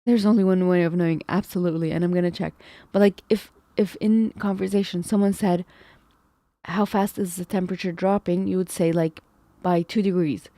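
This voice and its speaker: A woman's voice: fairly low pitch, steady tone, fairly quick, mellow, no room sound. A woman live-streaming, thinking aloud over math problems, second-guessing herself and chatting with viewers. She feels her voice is going. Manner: talks to chat, muses and second-guesses herself.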